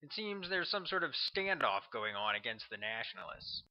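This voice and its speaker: A man's voice: mid-range voice, big pitch swings, moderate pace, lightly acted. A matter-of-fact policeman, voiced by a male narrator, gives a blunt and urgent briefing. It is audiobook storytelling with a dull, lo-fi sound.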